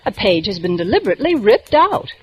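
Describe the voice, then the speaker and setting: An actress playing a prim young woman librarian who sticks to the rules, with crisp, precise diction, in 1940s radio acting style. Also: medium pitch, sing-song, quick pace, no echo, soft background music. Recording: old radio broadcast with faint hiss.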